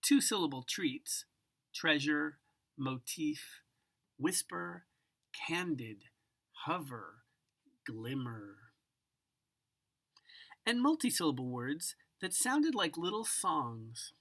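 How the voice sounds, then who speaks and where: Low-voiced woman reading a storybook, very animated. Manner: savors single words with drawn-out, dramatic delivery and occasional whispers. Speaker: storybook reader. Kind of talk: expressive children's picture book read-aloud.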